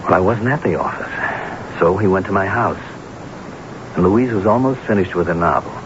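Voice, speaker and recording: A deep-toned, anxious husband played by a male actor in a radio mystery drama. He is earnest and guilt-laden, tripping over and repeating his words. The old broadcast recording is muffled and noisy. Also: moderate pace, natural voice. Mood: anxious explaining.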